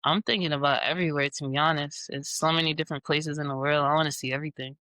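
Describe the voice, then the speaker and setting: A man in his twenties, from Jamaica and New York, answering in a relaxed, easygoing way as an interview guest. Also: a little high, fast, no echo. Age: 20s. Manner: relaxed, easygoing, with casual fillers. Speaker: guest scientist.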